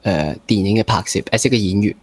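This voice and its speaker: Low-voiced Hong Kong man, dry close sound. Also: darkish tone, slightly rough, dry recording. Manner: halting phrasing, mixes in English words. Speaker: interview host. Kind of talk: casual conversational interviewing.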